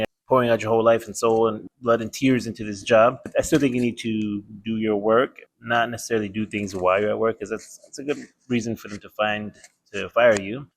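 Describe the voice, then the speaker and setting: A man hosting a reaction video, chatting casually and reading viewer comments aloud. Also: on the low side.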